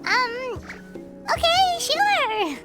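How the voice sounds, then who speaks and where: A woman voicing a small, childlike fairy companion in a video game, curious and blurting, with a squeaky voice. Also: very slow, exaggerated voice. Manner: expressive, refers to self by name. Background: game music.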